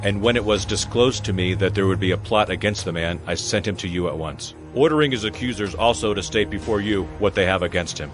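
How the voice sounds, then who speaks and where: Guy doing a stern roman commander in a bible drama, low voice, formal, music behind. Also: a little fast, few pauses, lightly acted.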